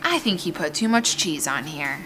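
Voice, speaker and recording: An actress playing a chatty, nosy small-town diner waitress in an audio drama, with a folksy, familiar way of talking. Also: female voice, sits low, big pitch swings, normal speed, sharp tone, a little hiss or hum, full character voice, low-quality recording. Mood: friendly, folksy.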